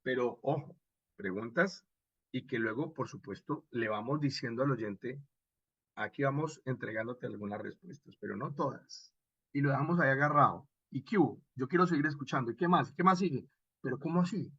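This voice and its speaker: Husky Colombian man teaching, dry sound. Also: medium pitch, moderate pace, dry recording, muffled recording. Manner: didactic and expressive, checks in with short rhetorical questions. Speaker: radio drama instructor. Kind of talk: animated workshop lecture with acted examples.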